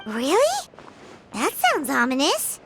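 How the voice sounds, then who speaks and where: A woman voicing a tiny, perky childlike fairy companion in an anime-style game, squeaky and chirpy. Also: huge pitch swings, slow, gravelly, exaggerated voice. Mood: intrigued. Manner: chirpy, eager. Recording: prominent game music behind the voice.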